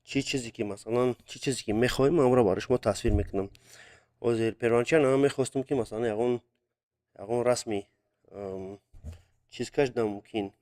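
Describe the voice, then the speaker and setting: A man's voice: medium pitch, brisk, a little mellow. A young man chatting casually on a live stream about drawing viewers' requests, speaking hesitantly with many fillers and Russian words mixed in, recorded on a phone.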